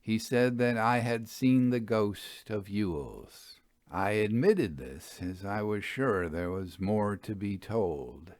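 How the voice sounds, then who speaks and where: A man's voice: fairly low pitch, takes it slowly, darkish tone, dry recording, clean studio sound. A man reading a Victorian ghost story aloud as a literary narrator.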